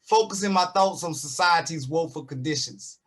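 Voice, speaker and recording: A man's voice: a little high, normal speed, a bit bright. A man performing his own rhyming spoken-word poem. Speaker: poet. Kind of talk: rhythmic spoken-word poetry.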